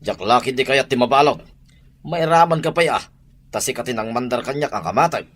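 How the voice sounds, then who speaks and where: A man's voice: medium pitch, expressive, fairly quick, a bit bright, a little hiss or hum, natural voice. A man playing a fearful yet menacing accomplice in an Ilocano audio drama. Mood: worried, threatening.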